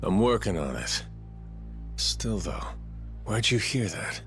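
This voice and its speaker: An actor playing a brash, rough-spoken yakuza man in a game dub. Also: on the low side, animated, takes it slowly, fairly bright tone, faint music behind, heavily acted. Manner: brusque, rough-edged. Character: tough, brash yakuza man with a protective streak.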